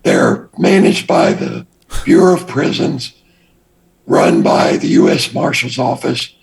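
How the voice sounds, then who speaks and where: An older man with a raspy voice, a guest telling his past story in a measured, deliberate way. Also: mid-range voice, wildly swooping pitch, rough recording. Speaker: interview guest. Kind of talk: recounting his past in an interview.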